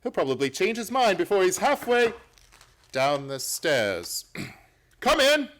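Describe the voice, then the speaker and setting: Man playing severe detective with sweeping, animated delivery. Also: sits high, takes it slowly, full character voice. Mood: brisk, confident. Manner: clipped, imperious, theatrical. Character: brilliant, severe, self-assured male English detective. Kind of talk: radio play acting.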